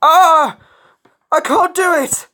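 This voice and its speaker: A storyteller voicing a timid young male falcon who is excitable and earnest, in a story reading. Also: mid-range voice, slow, husky, pitched above the performer's own voice, full character voice. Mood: panicked.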